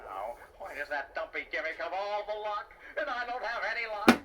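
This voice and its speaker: A man voicing a scheming, hot-tempered little villain in a children's audio storybook, ranting in a shrill, whiny way. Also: very animated, normal speed. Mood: exasperated, whining. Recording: noisy, distant old cassette transfer.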